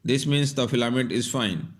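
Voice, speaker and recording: A man's voice: mid-range voice, moderate pace. A man giving scripted, step-by-step instructional narration in a plain, methodical way. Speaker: repair tutorial narrator.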